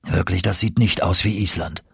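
A man voicing an excitable, commanding older professor in an audiobook, with an agitated, emphatic manner. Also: sits low, moderate pace, creaky, slightly rough, no echo, clean studio sound, voice pushed down, exaggerated voice. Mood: matter-of-fact. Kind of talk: audiobook character acting.

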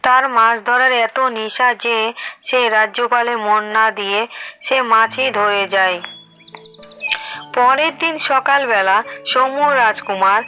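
A woman's voice: on the high side, a little slow, bright, forceful tone, soft background music. A woman narrating a fairy-tale cartoon in a scripted storytelling style.

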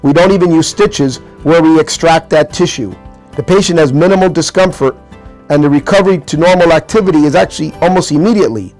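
A middle-aged man with a fairly high voice explains a procedure in a measured, patient way, often pausing with fillers and restarting words. He is seated at a desk in a small clinic office. Soft music plays faintly underneath. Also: low-quality recording. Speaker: orthopedic hand surgeon.

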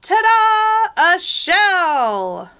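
A woman's voice: sits high, huge pitch swings, extremely slow, pitched above the performer's own voice, full character voice. A woman voicing an eager, wide-eyed little male slug in a children's picture book read-aloud. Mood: delighted.

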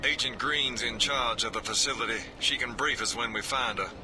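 A man's voice: mid-range voice, moderate pace, close to an everyday voice. A man playing a composed special forces soldier in a dramatic video game scene. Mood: businesslike. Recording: loud vehicle and ambient noise.

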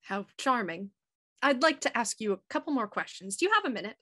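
Woman playing high-voiced, probing inspector. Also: sing-song, bright, forceful tone, heavily acted. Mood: dry, probing. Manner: probing, measured, dry. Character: cool, probing police inspector, dryly skeptical woman. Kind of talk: radio mystery acting, live online table read.

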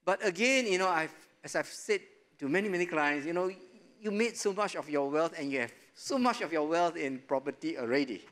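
A middle-aged man answering as an investment panelist in a studio discussion, relaxed and chuckling, with lots of "you know" fillers, on a lapel mic. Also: a little high, brisk. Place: studio stage set.

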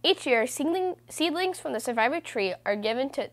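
A woman reading a children's book aloud as host, in a measured, deliberate way with stressed phrases. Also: high voice, expressive. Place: small room indoors.

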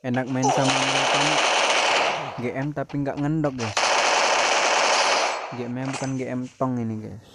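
A young man from Indonesia, hoarse from a cough, chatting casually to viewers while commenting on a game replay. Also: medium pitch, little pitch movement, normal speed, long pauses, very noisy. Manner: slangy, chatty, keeps addressing viewers. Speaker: gaming YouTuber.

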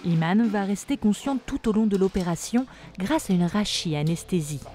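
A woman reading a scripted documentary voice-over in a measured, even way. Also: medium pitch. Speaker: documentary narrator. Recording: voice-over with music behind. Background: music.

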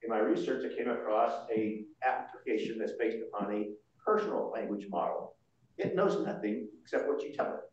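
An older man presenting informally at a board meeting, explaining things in a halting way. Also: fairly low pitch, animated. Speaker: association board member presenting. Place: large indoor meeting room. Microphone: far room microphone. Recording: muffled, very echoey room, distant mic.

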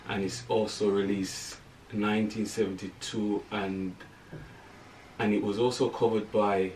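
Male guest, younger adult, lowish voice, conversational, echoey room with faint noise. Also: level tone, a little slow, a little mellow, far from the mic. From Jamaica. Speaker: band frontman, interview guest. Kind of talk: casual interview chat. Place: small room, indoors.

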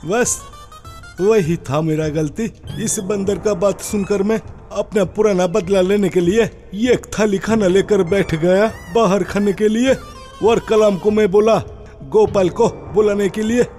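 A man's voice: high-pitched, measured pace, warm tone, faint music behind. A man voicing an irritable, blustering old village cleric in a cartoon, grumbling indignantly. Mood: irritated. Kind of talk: cartoon voice acting.

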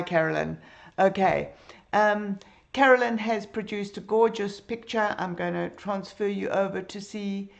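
A middle-aged woman with a hoarse, croaky voice, teaching a live drawing lesson from her home room on a webcam mic. She chats with her viewers, greets them by name and fills her pauses with ums. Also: mid-range voice, highs cut off. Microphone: computer webcam.

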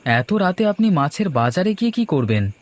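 A man's voice: mid-range voice, very animated, brisk, natural voice. A man playing a polite, uneasy, curious young nephew in a horror cartoon. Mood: surprised polite.

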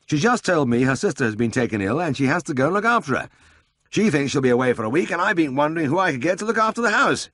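A man voicing a brisk, impulsive middle-aged vet who runs the practice and talks in breezy, bossy exclamations. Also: fairly high pitch, big pitch swings, fairly bright tone, no echo, pitched above the performer's own voice.

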